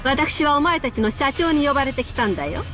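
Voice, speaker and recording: An actress playing an arrogant, scornful aunt from an old wealthy family in a visual novel. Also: female voice, sits high, lively intonation, racing, faint music behind. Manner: arrogant, sneering, drawling.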